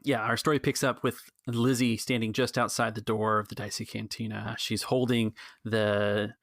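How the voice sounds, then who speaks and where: Male game master for tabletop storytelling, creaky delivery in a dry, close recording. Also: on the low side, little pitch movement, moderate pace, a bit bright, dry recording.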